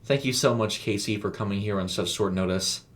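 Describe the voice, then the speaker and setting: A man's voice: fairly low pitch, natural voice. A man as a harried suburban mother. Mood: grateful. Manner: hurried, hesitant, apologetically polite. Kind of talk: audio storybook character voice.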